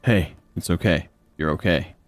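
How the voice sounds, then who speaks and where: Deep, gentle, protective companion played by a man. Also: normal speed, faint music behind, natural voice. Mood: soft, worried. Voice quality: soft, calming. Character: gentle, protective, worried male companion of a healer. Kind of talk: dark fantasy audiobook dialogue.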